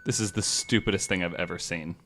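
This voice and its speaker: Male podcast host, lowish voice, informal, jokey, sweary chat. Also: steady tone, brisk, fairly bright tone, slight background noise.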